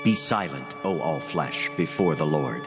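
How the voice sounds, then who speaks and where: A man playing a solemn, authoritative angel who proclaims God's commands in a grave, measured, declamatory way in dramatized scripture. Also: extremely low pitch, animated, heavily acted. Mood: solemn, commanding. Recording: long hall reverb, music underneath. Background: background music.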